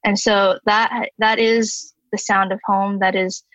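A woman in her twenties, a podcast guest, reciting her own poem and then talking thoughtfully with many pauses and ums. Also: mid-range voice, little pitch movement, fairly bright tone, no room sound. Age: 20s. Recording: dull lo-fi dry sound.

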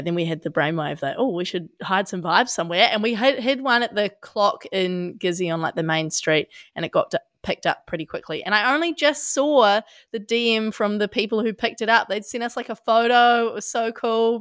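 A young adult woman from Australia co-hosting a podcast, talking casually with a husky voice from a head cold and many fillers. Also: fairly bright tone, no echo, clean studio sound. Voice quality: husky, deep. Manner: chatty, laughs a lot, many fillers like "hey". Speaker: podcast co-host. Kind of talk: casual chat.

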